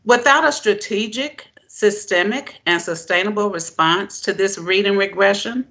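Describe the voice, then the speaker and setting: Woman doing a formal conference keynote, deliberate and emphatic, mid pitch, kinda muffled lo-fi recording. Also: bright, forceful tone. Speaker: presenter, education keynote speaker. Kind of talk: formal prepared keynote speech.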